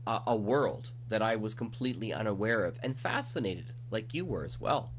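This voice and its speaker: A man's voice: on the low side, expressive, normal speed. A man hosting a conversational interview as a podcast host, speaking while getting over a cold.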